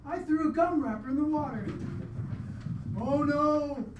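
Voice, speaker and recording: Woman acting a glib defensive man, high voice, live radio drama, distant noisy stage recording. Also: unhurried, darkish tone, reverberant, highs cut off. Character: brash, dismissive crocodile-shooting man, expectant father, Leonard. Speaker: actor in a live radio play. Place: live performance venue, recorded from off.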